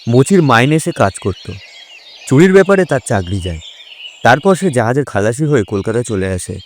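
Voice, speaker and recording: A man's voice: medium pitch, very animated, moderate pace, a little hiss or hum. A man narrating a scripted detective story.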